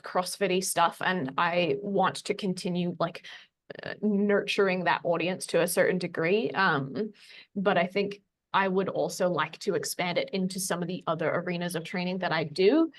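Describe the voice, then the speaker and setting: A woman's voice: fairly low pitch, moderate pace. A woman talking casually as a podcast guest, informal and self-deprecating, phrasing things loosely. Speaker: podcast guest, fitness coach. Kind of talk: casual conversational talk.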